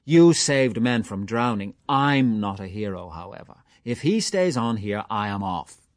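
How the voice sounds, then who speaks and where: Brief: male reader doing a brooding young man, low voice, terse and subdued, dry close sound. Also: expressive, unhurried, no room sound. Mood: wary.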